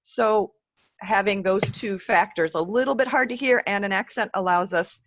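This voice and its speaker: A woman's voice: mid-range voice, normal speed, fairly bright tone, muffled recording. Apologetic woman moderating a video conference. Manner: apologetic, friendly interruptions. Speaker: event moderator.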